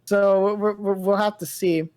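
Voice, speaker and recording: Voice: mid-range voice, steady tone, a little slow, darkish tone. A podcast co-host chatting casually about pop culture, rambling and often repeating phrases.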